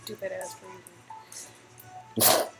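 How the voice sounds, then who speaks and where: A woman co-hosting a story-game playthrough with casual banter. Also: slow. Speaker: co-host. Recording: music in background.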